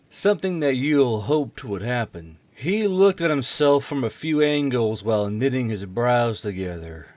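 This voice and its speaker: A man's voice: medium pitch, dry recording. A man reading a fantasy war story aloud as scripted narration. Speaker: narrator, audiobook reader.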